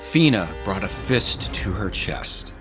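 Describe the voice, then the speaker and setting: Slow, low-voiced man narrating drama. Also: big pitch swings. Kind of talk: scripted dramatic storytelling narration. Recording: music behind the voice. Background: music.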